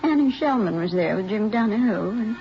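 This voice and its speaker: An older American woman playing a chatty, nostalgic elderly mother, gently rambling in 1950s radio drama style. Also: medium pitch, animated, fairly quick, natural voice. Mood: fond, chatty. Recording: muffled vintage radio recording, faint music.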